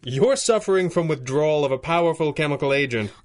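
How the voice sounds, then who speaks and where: A man's voice: fairly high pitch, animated, fast, close to an everyday voice. A man playing a commanding masked radio-serial hero. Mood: wry. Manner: articulate, formal, theatrical delivery. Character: commanding masked 1930s crime-fighting hero with a dry wit. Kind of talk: 1930s radio adventure serial acting.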